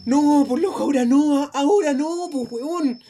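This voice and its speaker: A woman's voice: high voice, normal speed, noisy background. A woman with a Chilean accent acting an urgent, pleading, frightened young friend in a radio drama. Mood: urgent, scared. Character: frightened young friend who saw the devil.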